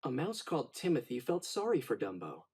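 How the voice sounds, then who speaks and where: A man narrating a children's storybook in an expressive, dramatic read-aloud style. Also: mid-range voice, brisk, vocal fry, breathy edge.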